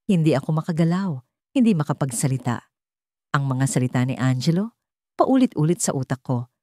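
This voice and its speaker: A woman narrating a scripted first-person romance story in measured, dramatic short phrases. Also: deep voice, warm tone, dry recording, studio quality.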